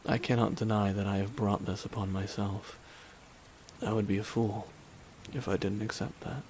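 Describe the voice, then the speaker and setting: A man playing a grieving, resigned supervillain in a dramatic ASMR roleplay, speaking a slow, deliberate, solemn monologue with long pauses. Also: fairly low pitch, little pitch movement, a little mellow, a little hiss or hum, close to an everyday voice. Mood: somber regret. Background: rain falling.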